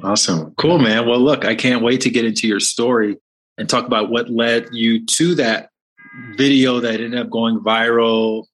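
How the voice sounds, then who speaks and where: A man's voice: medium pitch, steady tone, normal speed, a bit bright, rough recording. A man hosting a podcast, introducing his guest with enthusiasm and then interviewing him. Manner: enthusiastic, upbeat. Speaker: podcast host.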